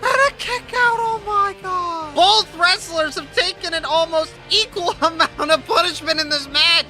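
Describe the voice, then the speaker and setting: A man doing casual, excitable commentary over a wrestling video game, swearing and laughing, swinging from mumbles to yells. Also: very high voice. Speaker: gaming YouTuber.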